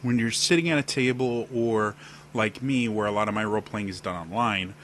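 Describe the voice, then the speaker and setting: A man with a deep voice giving casual on-camera advice about role-playing, demonstrating gestures as he explains. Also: a little fast, fairly bright tone, a little hiss or hum. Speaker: role-playing tips video host. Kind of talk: casual instructional talk to camera. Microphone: webcam setup at desk.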